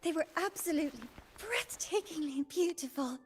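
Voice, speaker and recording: A woman playing an excitable young female researcher in a video game, shy and nerdy, given to eager rambling and flustered apologies. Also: extremely high pitch, moderate pace. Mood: excited. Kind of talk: anime-style video game acting.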